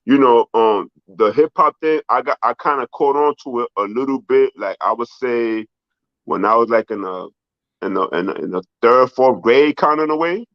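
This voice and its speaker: A man from the Bronx with a New York accent, whose first language is Spanish, talking in a relaxed, rambling way full of fillers as a guest. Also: mid-range voice, moderate pace, no room sound, muffled recording. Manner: relaxed, rambling, heavy fillers like "you know" and "um". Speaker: documentary filmmaker guest. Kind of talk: casual interview.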